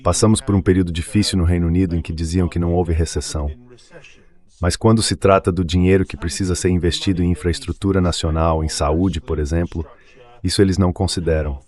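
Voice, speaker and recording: Very low-pitched man giving political commentary. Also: fairly even intonation, fast, darkish tone. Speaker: interview guest, political commentator.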